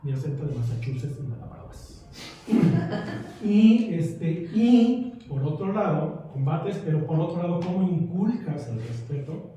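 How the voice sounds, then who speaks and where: A man's voice: fairly high pitch, lively intonation, unhurried, mellow, muffled recording. A man in a casual group discussion, talking in a rambling, reflective way with many fillers. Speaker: discussion participant. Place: room of a community gathering. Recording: echoey room, far from the mic.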